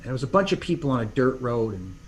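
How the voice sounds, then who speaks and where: A man's voice: medium pitch, big pitch swings, fast, a little hiss or hum. Rambling middle-aged man telling stories. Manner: rambling, many restarts and fillers. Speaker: podcast guest. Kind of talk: casual interview chat.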